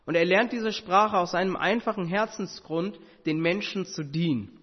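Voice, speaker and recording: A man's voice: high-pitched, moderate pace, slightly rough. A man whose first language is Tamil, preaching an earnest sermon with rhetorical questions and occasional hesitations. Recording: muffled sound with long hall reverb.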